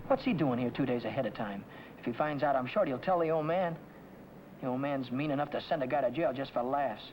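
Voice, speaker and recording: An American actor playing a restless, hard-pressed young mechanic in a 1950 noir film, with slangy, clipped speech. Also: male voice, mid-range voice, brisk, natural voice. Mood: worried, brooding. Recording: noisy old film soundtrack.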